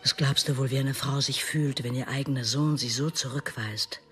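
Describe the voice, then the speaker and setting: An actress playing a bitter, wounded middle-aged mother who speaks of her estranged son in an intense radio drama monologue, moving between hushed and emphatic delivery. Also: rumbling low, steady tone, normal speed, faint music behind. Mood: bitter, hurt.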